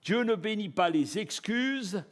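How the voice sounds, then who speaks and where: A man preaching a live church sermon, lively, asking the congregation rhetorical questions. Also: high-pitched, measured pace. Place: church service before a congregation.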